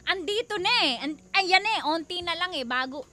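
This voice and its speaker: A woman's voice: very high-pitched, animated, normal speed, sharp tone. A woman with a Filipino accent co-hosting a radio show in casual, playful banter, with teasing exclamations and dramatic emphasis.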